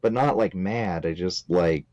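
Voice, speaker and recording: A man's voice: sits low, unhurried, no echo. A man co-hosting a casual film review podcast, blunt and joking darkly. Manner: blunt, swears, dark jokes. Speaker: podcast co-host.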